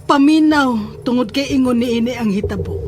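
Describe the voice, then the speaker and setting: A woman's voice: fairly high pitch, normal speed, over music, natural voice. An actress playing a sincere, trusting young woman in a horror audio drama about a family curse. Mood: solemn.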